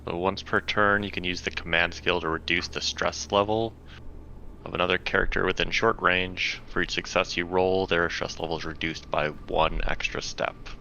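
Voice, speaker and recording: Low gravelly guy chatting at a tabletop game, kinda lo-fi mic. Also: flat delivery, moderate pace, pushed and bright. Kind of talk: casual game discussion. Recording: dull lo-fi mic, faint noise.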